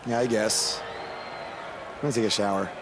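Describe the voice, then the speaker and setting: A man's voice: lowish voice, moderate pace, a crowd in the background, noisy background, close to an everyday voice. A man acting as a wry, earnest, stubborn young pro wrestler in a video game, speaking casually with a sarcastic edge. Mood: wry, subdued. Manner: sarcastic, animated. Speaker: wrestler lead character. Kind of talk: video game cutscene dialogue, casual banter.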